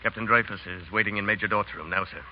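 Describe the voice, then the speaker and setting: Male actor as conscientious major, lowish voice, earnest, respectful, vintage radio drama, muffled recording. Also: fast talker, natural voice. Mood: dutiful. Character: conscientious, doubting French army major. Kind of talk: 1930s radio acting.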